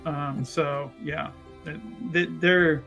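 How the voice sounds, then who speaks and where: A male player in a tabletop fantasy game, his voice a little high for a man. He chats casually at a slow overall pace, with faint music in the recording. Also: steady tone, bright, forceful tone, lo-fi sound. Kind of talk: casual tabletop roleplay chat.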